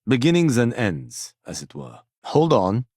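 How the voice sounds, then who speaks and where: A man's voice: medium pitch, very animated, measured pace, vocal fry, darkish tone, husky, dry recording, exaggerated voice. A man voicing a fussy, punctual corporate office worker in a video game, speaking precisely and formally with dry condescension. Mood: brisk, impatient.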